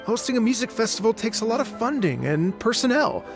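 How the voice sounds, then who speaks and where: An actor playing an earnest, polite male concert conductor in a game, with expressive, musical turns of phrase that turn hesitant when he is pressed. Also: very high voice, a little fast, full character voice. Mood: apologetic. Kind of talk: game dialogue. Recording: game audio with music underneath.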